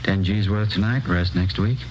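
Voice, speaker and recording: A man's voice: low-pitched, moderate pace, lightly acted. A man playing a terse, hard-nosed narcotics dealer with a curt, blunt manner in a 1950s radio crime drama. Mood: terse, businesslike. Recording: faintly noisy vintage radio recording.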